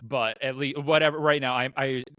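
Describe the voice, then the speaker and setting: Bright, rambling man chatting on a podcast. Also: medium pitch, steady tone, moderate pace, dry recording, poor audio. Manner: rambling, thinking aloud. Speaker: podcast co-host. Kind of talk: casual podcast chat.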